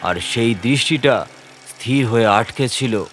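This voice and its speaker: A man's voice: medium pitch, unhurried, fairly bright tone, faint music behind. A man narrating a first-person horror story in a suspenseful, theatrical manner.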